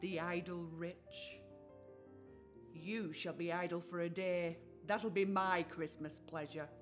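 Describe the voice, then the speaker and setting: A woman's voice: on the low side, steady tone, slow pace. An actress playing a busy, fond mother who scolds in a mock-serious way in a radio play. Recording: radio play with music underneath.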